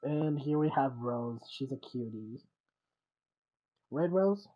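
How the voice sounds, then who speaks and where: A woman's voice: rumbling low, expressive, slow, muffled recording. A woman giving casual gameplay commentary as a streamer, hesitantly searching for words. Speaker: game streamer.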